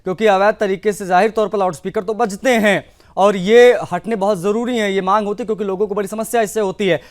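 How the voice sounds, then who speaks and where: A man's voice: high voice, fairly even intonation, quick pace. A man anchoring a news broadcast, presenting reports and putting questions to a correspondent.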